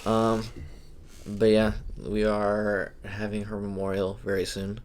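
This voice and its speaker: Young man, podcast co-host, very low gravelly voice, slow halting reflective talk. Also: completely flat delivery, a little hiss or hum. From Hawaii. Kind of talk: casual podcast chat.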